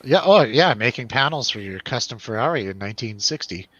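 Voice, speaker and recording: A man's voice: medium pitch, expressive, a little fast, fairly bright tone, no room sound, dull audio. A man hosting a casual film review chat, rambling along with wry joking. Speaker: host of a film review show.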